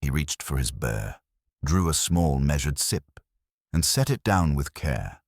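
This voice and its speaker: A man narrating a scripted first-person detective story as an audiobook, with wry, deadpan phrasing. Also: rumbling low, unhurried, no echo, studio quality.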